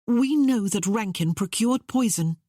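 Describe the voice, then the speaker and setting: A bold, idealistic young woman in a historical novel, performed by a woman narrator. She sounds earnest and impulsive, with a raised pitch and very lively intonation. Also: normal speed, slightly rough, no echo, clean studio sound. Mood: urgent. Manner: earnest, blurting.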